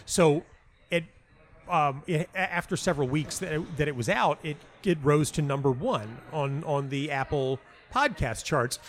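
A man's voice: fairly high pitch, moderate pace, slight background noise. A middle-aged man telling stories as an interview guest, stammering and repeating words, acting out the dialogue he quotes. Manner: animatedly acts out quoted dialogue. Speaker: interview guest, playwright and audio dramatist. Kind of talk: conversational anecdotal storytelling.

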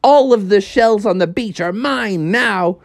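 An adult storyteller voicing a cheeky, grabby frog in a children's story, with a whiny, excitable voice. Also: mid-range voice, measured pace, creaky, no echo, clean studio sound, pitched above the performer's own voice. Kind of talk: improvised bedtime storytelling.

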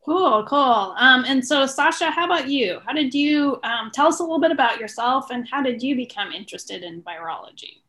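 Female podcast interviewer, pitched a little high, informal and conversational. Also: expressive, normal speed, hardly any pauses, pushed and bright. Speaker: podcast host interviewing guests.

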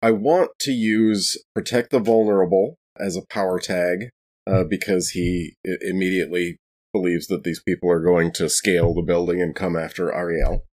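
A man's voice: sits low, steady tone, moderate pace, a little mellow. A man playing a tabletop role-playing game, casually explaining what his character does.

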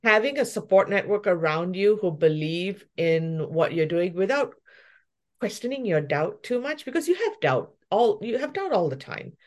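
A woman's voice: mid-range voice, very animated, moderate pace. A woman from Malaysia, a pastry chef and shop owner, talking reflectively about her story in a casual interview and laughing often.

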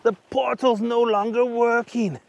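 A man's voice: extremely high pitch, little pitch movement, unhurried, vocal fry, dull audio, pitched above the performer's own voice, exaggerated voice. A man voicing an eager, kindly young adventurer, earnest and colloquial, in a children's story.

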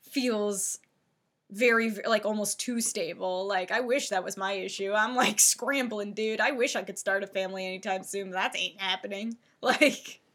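A woman in her thirties chats on a podcast in a bright, clear voice, circling her points with plenty of "like" and "um". She talks loosely and casually, as if with friends. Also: medium pitch, moderate pace. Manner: rambling, filler-heavy, lots of "like". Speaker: podcast co-host.

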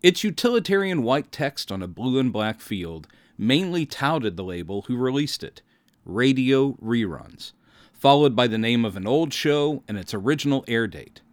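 American male podcast host, fifties, wry storyteller with very lively intonation and a dry, close studio sound. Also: medium pitch, normal speed, no room sound. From Mississippi, United States. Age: 50s. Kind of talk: scripted autobiographical narration.

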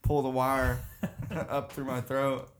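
A man's voice: medium pitch, flat delivery, slow pace. Casual male storyteller, rambling and filler-heavy, heats up when annoyed. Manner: rambling, many "like"s, gets loud and heated. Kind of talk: casual chat.

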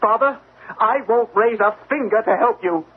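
A man's voice: high voice, normal speed, lightly acted. A man playing an indignant, proud young son accused by his father, in vintage radio drama style. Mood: defiant, hurt. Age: young adult. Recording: tinny, noisy old radio recording.

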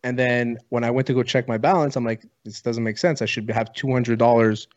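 A man's voice: medium pitch, little pitch movement, a little fast, muffled recording. A man co-hosting a casual sports podcast, blunt and casually profane.

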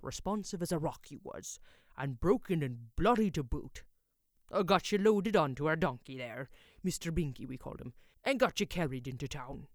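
A woman voicing a gruff, brusque older male blacksmith who barks his lines in a rustic, old-fashioned dialect, recorded dry. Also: low voice, animated, normal speed, dry recording. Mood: jovial.

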